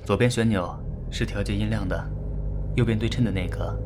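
A man acting a composed, considerate young teacher in a Chinese radio drama, speaking in a measured, understated way. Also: on the low side, background noise, lightly acted. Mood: polite, calm. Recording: music behind the voice.

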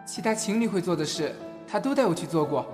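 A shy, lovestruck young man, played by a male actor in a romantic audio drama. He speaks softly and hesitantly, with earnest insecurity, over background music. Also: high-pitched, sing-song, fast. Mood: happy, dreamy. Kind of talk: Chinese audio drama acting with inner narration. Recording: music behind.

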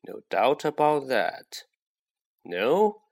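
A sly old talking hat from a storybook, murmuring its thoughts slowly and drawing out each word, as a man puts it on. The voice swoops up and down in pitch as it muses. It sounds hushed and close, as if speaking right into someone's ear. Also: big pitch swings, vocal fry, heavily acted. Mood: coaxing. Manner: slow, drawn-out musing. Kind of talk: audiobook character voice.

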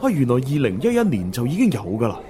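A man's voice: mid-range voice, wildly swooping pitch, quick pace, background noise. A man with a Hong Kong Cantonese accent playing a chatty, eager time traveller who hesitates as he covers his slips, in a radio drama. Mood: surprised, chatty.

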